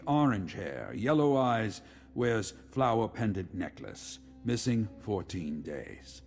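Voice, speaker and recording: Weary commander, gravelly and expressive. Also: male voice, mid-range voice, heavily acted. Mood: grim, weary. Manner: measured, formal, authoritative. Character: weary, dutiful middle-aged male legion commander. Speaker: game character, military commander. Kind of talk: scripted video game dialogue.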